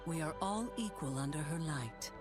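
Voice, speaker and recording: An actress playing a solemn, devout warrior woman of sun and moon in a video game, speaking gravely and formally. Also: sits low, lively intonation, normal speed. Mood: reverent. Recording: music behind game lines.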